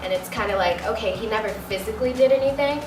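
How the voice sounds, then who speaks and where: A woman's voice: mid-range voice, fast talker. A woman in her twenties talking frankly and casually on a studio sofa, filler words throughout. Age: 20s. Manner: chatty, frank, many likes and you knows. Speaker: panel guest. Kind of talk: candid casual chat. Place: studio set with sofa, indoors. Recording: roomy, distant, music bed.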